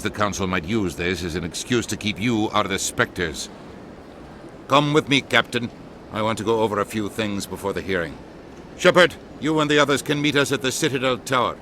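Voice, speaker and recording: A man's voice: lowish voice, noisy background, lightly acted. An actor voicing a stern, lecturing male ambassador. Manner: stern, scolding. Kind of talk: video game voice acting. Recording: game audio over music.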